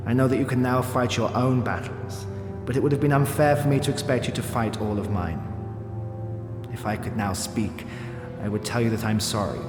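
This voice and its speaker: An actor playing a worldly, father-like older man, genteel and affectionate, voicing a letter in an audio drama in measured, tender tones. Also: mid-range voice, natural voice. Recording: echoey long reverb, music underneath.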